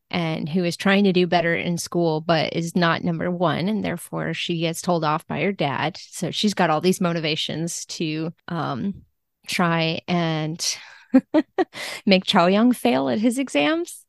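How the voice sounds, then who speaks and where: A woman's voice: fairly low pitch, a little slow, vocal fry, no echo, clean studio sound. A woman speaking as a podcast guest in a casual interview, enthusiastic and informal about her work as a literary translator.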